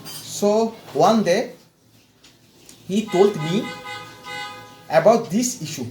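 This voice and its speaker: Slow, halting man with a high voice. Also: dark tone, soft background music. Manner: hesitant, with repeated words and long pauses. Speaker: client giving a testimonial. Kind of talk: personal story, slow and halting. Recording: echoey room with faint background noise.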